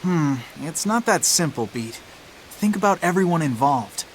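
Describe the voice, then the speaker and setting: A man's voice: fairly high pitch, expressive, moderate pace, slight background noise, close to an everyday voice, rough recording. A man voicing a sceptical, level-headed teenage boy in a video game, casual and reasoning. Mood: calm, sceptical.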